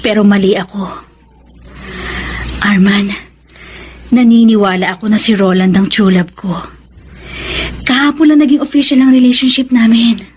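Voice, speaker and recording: An actress with a Filipino accent playing an expressive, warm, middle-aged divorced woman newly in love in a radio drama. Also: medium pitch, moderate pace, pauses often, husky, close to an everyday voice. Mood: content, consoling.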